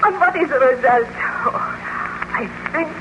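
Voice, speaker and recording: Very high-voiced, distraught radio-drama wife. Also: female voice, measured pace. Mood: bitter, accusing. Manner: declamatory, theatrical. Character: distraught, reproachful wife of a scientist, passionate and accusing. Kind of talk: 1930s radio melodrama acting. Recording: muffled, noisy 1930s radio recording.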